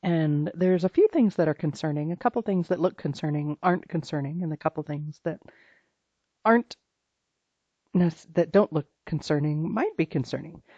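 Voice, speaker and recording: Slightly hoarse speaker, health practitioner explaining lab results, halting informal delivery, mellow tone, dull muffled sound. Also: medium pitch, no echo. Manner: halting and informal, with frequent restarts and fillers. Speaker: health practitioner reviewing a client's blood test results. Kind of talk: private recorded walkthrough of lab results.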